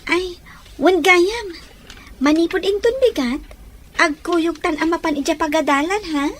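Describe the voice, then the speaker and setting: A woman's voice: extremely high pitch, measured pace. A woman playing a sweet, coy schoolgirl, friendly and coaxing, in an Ilocano radio drama.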